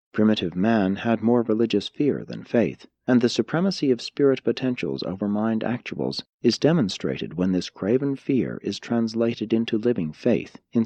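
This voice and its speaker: Low-pitched man reading with lively intonation. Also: dry recording, muffled recording. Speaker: audiobook narrator. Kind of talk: scripted reading of religious philosophy.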